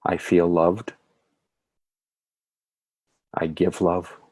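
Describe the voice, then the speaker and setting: A man leading a guided meditation in a soothing, measured way, with calm phrasing and gentle affirmations. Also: sits low.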